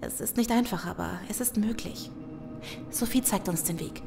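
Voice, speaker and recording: Reassuring female survivor, medium pitch actress. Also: normal speed, creaky, whispered, music in the background, natural voice. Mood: hushed, firm. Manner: reasoning, reassuring. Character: careful, caring female survivor tending wounded. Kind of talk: German audio drama acting.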